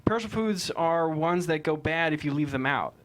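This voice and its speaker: A man's voice: a little high, lively intonation, a little fast, natural voice. A man playing a probing, argumentative defense lawyer with methodical questioning in a courtroom drama table read. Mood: explaining.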